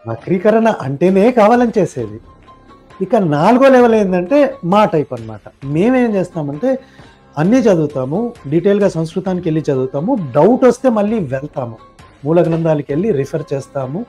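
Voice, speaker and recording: A man's voice: high voice, sing-song, fairly quick, dark tone, soft background music. A man speaking as a podcast guest, explaining his opinions point by point in a didactic, conversational way.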